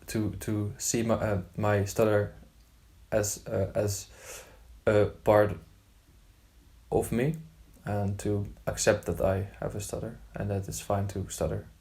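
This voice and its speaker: A man talking about his stutter, repeating words with many "uh" pauses. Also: on the low side, little pitch movement, warm tone. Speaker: community member sharing experience. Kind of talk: personal testimony to camera.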